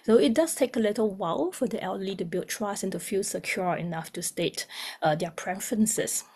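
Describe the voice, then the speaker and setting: Young woman, husky explaining voice. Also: medium pitch, a little mellow. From Singapore. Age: young adult. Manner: measured, explanatory, with frequent ums. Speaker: music therapist. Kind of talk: webinar presentation.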